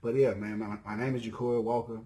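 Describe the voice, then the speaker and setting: A man's voice: lowish voice, steady tone, fast, mellow. A man in his twenties from Mississippi with a Southern accent, casually introducing himself on his video channel, rambling with many ums and self-corrections. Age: 20s. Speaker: YouTuber.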